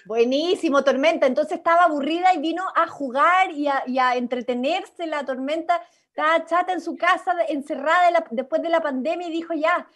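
A Chilean woman on a video call, directing a radio play workshop in an animated, encouraging way. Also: sits high, brisk. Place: indoors at home, video call. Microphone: computer mic on video call.

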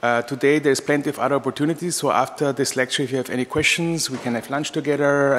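A man's voice: medium pitch, a bit bright. A man in his forties from Vienna with an Austrian accent, an architecture professor giving an informal lecture at a lectern, phrasing things hesitantly. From Austria, raised in Vienna. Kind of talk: lecture to an audience. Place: large room, indoors, at a lectern. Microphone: gooseneck lectern microphone. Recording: reverberant lecture hall.

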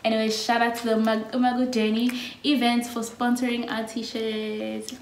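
A woman's voice: on the high side, flat delivery, moderate pace, roomy sound, slight background noise. A young South African woman in her twenties, casually chatting and giggling through a get-to-know-us video. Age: early 20s. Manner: giggly, chatty, laughs often. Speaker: YouTube vlogger. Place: small indoor room.

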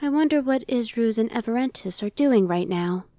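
A woman's voice: on the high side, lively intonation, normal speed, mellow, dry recording. Woman voicing a casual demon scout, dry close sound. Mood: casual, resigned. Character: young female demon scout Evanescence, casual, dry-witted, inwardly brooding. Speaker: voice actor. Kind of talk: ASMR roleplay audio drama.

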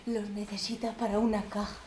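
An actress from playing a poor, lonely, half-mad old woman who pleads slowly on the phone, turning between bitterness and tears. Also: mid-range voice, little pitch movement, a little mellow, reverberant, distant mic, a little hiss or hum, close to an everyday voice. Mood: quiet grief. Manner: slow, pleading, swinging between bitter and tearful. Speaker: actress in a monologue. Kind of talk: stage monologue.

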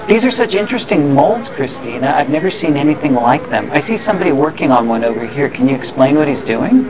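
Man interviewing, noticeably high-pitched card aside. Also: very animated, quick pace, a crowd in the background, low-quality recording. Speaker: interviewer on a tour. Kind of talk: conversational questioning. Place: busy factory floor. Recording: busy factory background noise.

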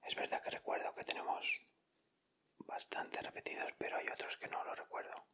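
A man with an accent from Spain, speaking in a breathy, unvoiced whisper as he gives casual ASMR commentary. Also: normal speed, bright, forceful tone. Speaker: ASMR video host. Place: indoors at a table.

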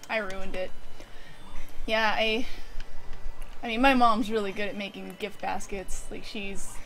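A woman with an average-pitched voice co-hosts a let's play. She chats casually and informally, and the recording has a light layer of noise. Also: steady tone, unhurried, fairly bright tone, rough recording. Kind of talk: casual gameplay chat.

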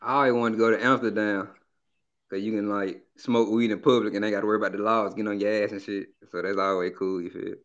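A man's voice: on the low side, level tone, quick pace, fairly bright tone, highs cut off. A man in his twenties with a Southern US accent, talking in a laid-back, slangy way as a dating show contestant. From Birmingham, Alabama. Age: 20s. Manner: laid-back, slangy, says "you feel me" often. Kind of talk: casual chat.

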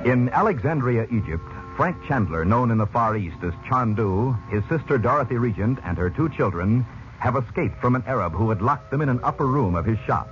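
Animated old-time radio announcer, male. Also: mid-range voice, fairly quick. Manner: formal, theatrical. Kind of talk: 1930s-40s radio announcing, scripted. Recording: muffled old radio recording with music.

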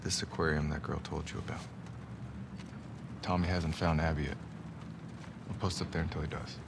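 A man's voice: very deep voice, little pitch movement, moderate pace, vocal fry, noisy background. An actor playing a weary, loyal, level-headed young male survivor in a naturalistic video game scene. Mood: weary, resolved. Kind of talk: naturalistic game cutscene acting.

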